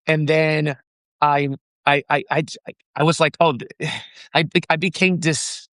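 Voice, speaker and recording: A young man from the Dominican Republic, a founder being interviewed, talking in an animated way with frequent laughs and restarts. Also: on the high side, a little slow, dry recording, clean studio sound. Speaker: startup founder, guest. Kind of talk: casual interview chat.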